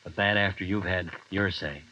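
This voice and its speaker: A man's voice: low voice, normal speed. A man hosting a radio horror show, chatting easily with his announcer after the story. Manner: relaxed, conversational aside to the announcer. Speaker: radio drama host and writer. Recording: dull vintage radio recording.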